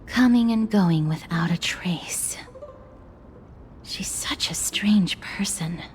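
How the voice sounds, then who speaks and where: Measured, unhurried, composed noblewoman played by a woman. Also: medium pitch, a little mellow, in a whisper, natural voice. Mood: calm, pensive. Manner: formal, measured. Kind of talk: anime-style game voice acting.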